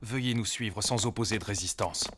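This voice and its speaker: A man playing a stern, excitable policeman in a French cartoon dub. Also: lowish voice, brisk, slight background noise, natural voice.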